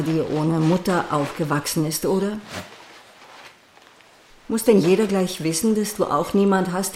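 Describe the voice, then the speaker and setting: Low-voiced, despairing wartime mother. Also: a little mellow, slight background noise. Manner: bitter, reproachful, weary. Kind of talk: audio drama acting.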